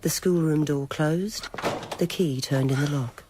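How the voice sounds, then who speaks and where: A narrator reading a scripted dramatic story in a measured, literary manner. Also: mid-range voice, noisy background.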